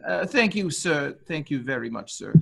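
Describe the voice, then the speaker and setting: A man's voice: a little high, lively intonation, moderate pace, gravelly, noisy background. A man playing a deferential, nervous manservant in a murder mystery reading, obsequious and hesitant. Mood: hesitant, pleading. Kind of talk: dramatic reading.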